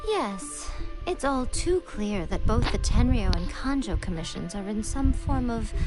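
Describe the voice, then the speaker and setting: A woman playing a sly, elegant fox-spirit priestess in a video game, speaking in a measured, knowing, formal way. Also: on the high side, expressive, low-quality recording. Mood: analytical. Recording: music behind the voice. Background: game music.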